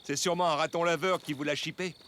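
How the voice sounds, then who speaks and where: A man voicing a kindly, jovial animal father in a children's cartoon, friendly and gently playful. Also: fairly high pitch, fairly even intonation, fast, a little hiss or hum, close to an everyday voice. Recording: loud music behind.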